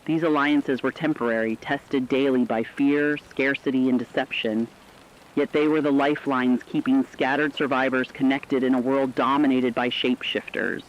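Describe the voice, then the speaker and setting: A man giving scripted, documentary-style dramatic narration with a measured, serious delivery. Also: mid-range voice, fairly even intonation, dull audio.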